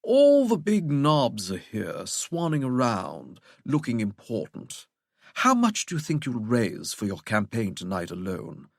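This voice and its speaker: A man voicing a smug, unflappable English gentleman villain for an audiobook, with a posh, droning, mock-courteous manner. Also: mid-range voice, wildly swooping pitch, unhurried, dry recording, studio quality, exaggerated voice. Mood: breezy, mocking. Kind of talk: audiobook character voice.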